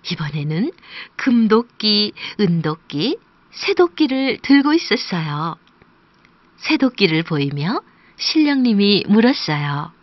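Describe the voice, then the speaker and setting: Woman narrating a kids' folk tale, slow and lively, gentle sing-song, dry studio. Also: medium pitch, no echo, dull audio. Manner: gentle sing-song telling, sometimes hushed. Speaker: storyteller. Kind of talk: scripted children's storybook narration.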